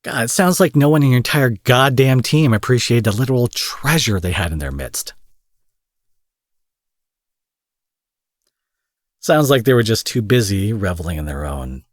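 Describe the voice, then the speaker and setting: A man's voice: on the low side, lively intonation, normal speed, no room sound, studio quality. A man playing an angry, protective supervillain in an intimate audio roleplay, menacing yet tender, speaking emphatically with swearing and pet names. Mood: dry, exasperated.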